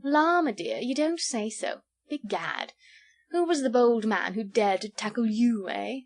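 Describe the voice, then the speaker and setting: A woman's voice: fairly high pitch, expressive, unhurried. A woman voicing a lazy, good-humoured English baronet in an audiobook, with an affected, bland, foppish drawl. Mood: placid, bantering. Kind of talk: storybook character voice.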